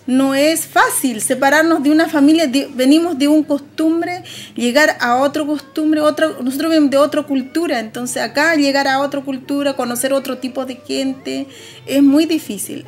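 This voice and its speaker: High-pitched woman, halting and reflective. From Andean highlands of northern Chile. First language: Aymara. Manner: halting, reflective, searching for words. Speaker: interviewee. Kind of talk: personal testimony on a radio program.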